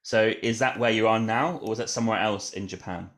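A man's voice: lowish voice, a little fast, a bit bright. A man hosting a podcast, reading an upbeat introduction and then asking casual interview questions. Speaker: podcast host.

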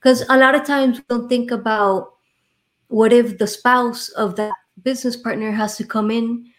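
A woman's voice: on the high side, fairly even intonation, moderate pace. A woman from Miami with a Miami accent, a guest on an interview podcast, explaining insurance in a friendly, conversational way with many "right?" asides. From Miami, Florida. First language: Spanish.

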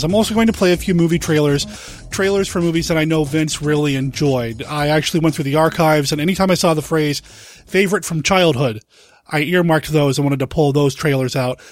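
A man hosting his podcast, opening the show in a measured, earnest way. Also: on the high side. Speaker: podcast host. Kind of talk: scripted podcast introduction.

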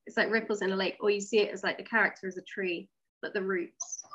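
A woman's voice: mid-range voice, fairly even intonation, fast talker, highs cut off, background noise. A woman interviewed as a writer, explaining her method conversationally, step by step, with rhetorical questions. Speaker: interview guest, audio drama writer.

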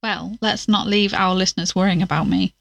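Woman acting as breezy teasing podcast sidekick, audio drama, creaky voice, dry close sound. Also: medium pitch, steady tone, a little fast, no room sound.

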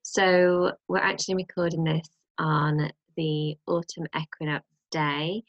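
A young British woman chatting as a podcast co-host, her voice low and gravelly with a slight cold croak. She rambles along with plenty of fillers, recorded close and dry with no room sound. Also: level tone, unhurried, no room sound, dull audio. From Britain. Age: young adult. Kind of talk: casual chat.

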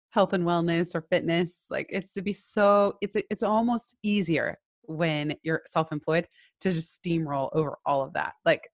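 A woman with a fairly low voice speaks as an interview guest, candid and animated as she shares her own experience. The sound is dry and close, with no room echo. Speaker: guest, self-employed entrepreneur. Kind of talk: casual interview chat.

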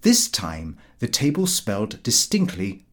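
A man reading a comic diary aloud as an audiobook narrator, in expressive scripted narration. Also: fairly low pitch, a little slow.

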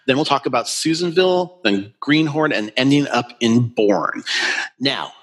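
A man's voice: mid-range voice, lively intonation, measured pace. A man hosts a travel podcast in an upbeat presenter manner, introducing a guest and reading out where to find him. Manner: upbeat presenter, reads out plugs. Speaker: podcast host. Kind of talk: podcast hosting. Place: Orange County, California.

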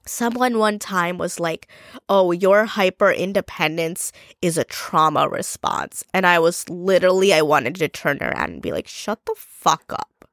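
A woman's voice: lowish voice, a little slow, fairly bright tone, dry recording, studio quality. A young adult woman hosting her own podcast, talking alone in a blunt, ranting way with plenty of swearing, a bit stuffy from congestion. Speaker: podcast host. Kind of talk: solo podcast monologue.

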